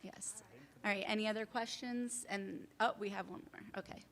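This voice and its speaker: A woman presenter answering audience questions at a workshop, explaining in halting sentences full of fillers. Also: mid-range voice, fairly even intonation, a bit bright. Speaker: civil service program presenter.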